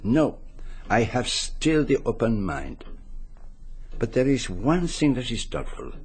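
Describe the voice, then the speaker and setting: An actor playing a courtly, shrewd Belgian detective in a radio mystery, with a French accent and formal, deliberate phrasing. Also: male voice, medium pitch, sing-song, darkish tone, husky, heavily acted. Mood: measured, shrewd. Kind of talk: radio mystery drama acting with narration.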